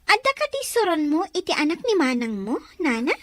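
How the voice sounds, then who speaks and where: Woman acting a very high-voiced inquisitive young student. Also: big pitch swings, fairly quick, no echo. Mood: chatty, questioning. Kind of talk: acted drama dialogue.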